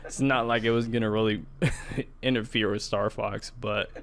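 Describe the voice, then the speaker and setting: A man's voice: fairly low pitch, fairly even intonation, moderate pace, fairly bright tone, slight background noise. A man co-hosting a podcast, talking in a casual, informal way like an enthusiastic gamer. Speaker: podcast co-host. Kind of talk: casual chat about video games and movies.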